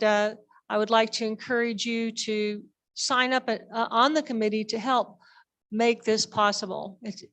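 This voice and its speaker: A woman presenting informally to a members meeting as an organization director, in a halting, conversational way. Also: mid-range voice.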